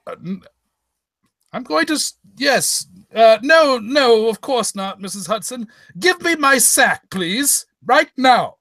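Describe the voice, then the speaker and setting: A man playing a flustered, stammering gentleman doctor. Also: extremely high pitch, animated, slow, no room sound, clean studio sound, full character voice. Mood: polite, jolly. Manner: stammering, flustered, muttering exclamations. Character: bumbling, polite gentleman doctor dressed as Santa. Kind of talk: hammy old-time radio parody.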